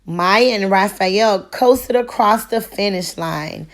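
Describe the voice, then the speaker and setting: A woman reading a children's picture book aloud with deliberate, expressive storytelling, like a teacher to a class. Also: mid-range voice.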